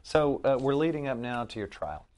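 A man interviewing an author, setting up questions in deliberate, broken-up phrases. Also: mid-range voice, sing-song, a little mellow. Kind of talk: measured book-interview questioning.

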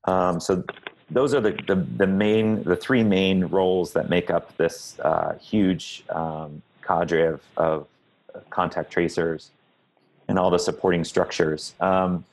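Low-voiced man explaining in halting phrases. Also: steady tone, rough recording. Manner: measured, halting, searching for words. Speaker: interview guest, public health leader. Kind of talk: explanatory interview answers.

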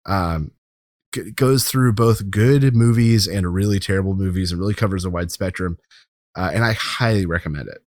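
A man's voice: sits low, moderate pace. A man co-hosting a podcast, casually chatting and giving recommendations. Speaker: podcast co-host. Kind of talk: casual review and recommendation chat.

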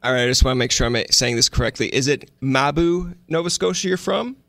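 A man's voice: mid-range voice, expressive, brisk, creaky, fairly bright tone, dry recording, studio quality. A man from Canada's East Coast hosting an interview, asking questions in a conversational style. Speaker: interview host.

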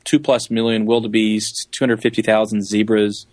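A man's voice: on the low side, level tone, moderate pace, dry recording, studio quality. A man giving enthusiastic, explanatory answers as a guest safari guide in a conversational interview.

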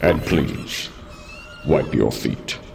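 A man playing a pompous, menacing alien guard with slow, imperious speech in a comic sci-fi radio serial. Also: extremely low pitch, wildly swooping pitch, vocal fry, faint music behind, exaggerated voice. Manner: slow, imperious, grandly formal.

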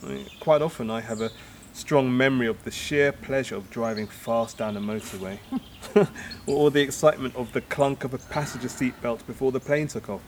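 An actor in an audio drama playing a wistful, idealistic man who speaks reflectively and haltingly, as if making a speech. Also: medium pitch, slight background noise, lightly acted. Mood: reflective, hopeful. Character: wistful departing man, hopeful philosophising idealist, father. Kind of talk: naturalistic audio drama acting.

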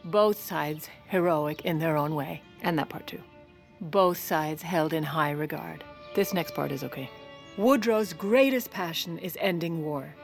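A woman's voice: sits low, measured pace, gravelly, soft background music. An actress playing a wry, bossy First Lady with an American accent and a dry, sarcastic manner in an audio drama. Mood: formal, oratorical. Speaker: lead character and narrator. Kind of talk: audio drama acting and narration.

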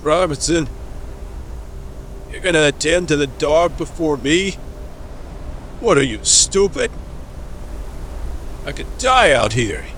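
A man's voice: fairly high pitch, expressive, takes it slowly, pauses often, fairly bright tone, a little hiss or hum. A man playing a bitter, domineering villain doctor in an audio drama, injured, in pain and making indignant demands. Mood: bitter, desperate. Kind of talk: scripted audio drama acting.

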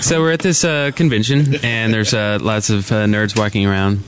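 A man's voice: fairly low pitch, steady tone, normal speed, soft background music. A man co-hosting a live podcast at a convention, telling a rambling story full of fillers. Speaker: podcast co-host. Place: convention floor.

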